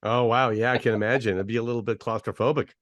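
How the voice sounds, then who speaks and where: A man's voice: mid-range voice, fairly even intonation, quick pace, fairly bright tone. A man who hosts a radio station's interview, asking chatty questions with some hesitation. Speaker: radio host. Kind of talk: casual interview over video call.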